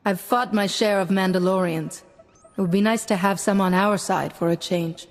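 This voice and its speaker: An actress playing a calm, dutiful female Jedi sage in a video game, speaking formally and measuredly in a reverberant space. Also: mid-range voice, moderate pace, lightly acted. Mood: wry. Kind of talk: scripted video game dialogue. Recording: reverberant hall, background music.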